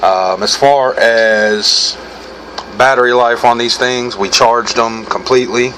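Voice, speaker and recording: A man's voice: medium pitch, fairly even intonation, slow pace, sharp tone. A middle-aged American man giving a casual, unscripted product review in an informal, rambling, self-correcting way. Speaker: gear reviewer hosting his own video. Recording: clearly noisy background hum.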